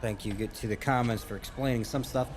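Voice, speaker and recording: A man giving casual, halting running commentary as he reacts to video game cutscenes. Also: medium pitch, fairly even intonation. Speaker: gaming reaction host. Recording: game soundtrack playing behind.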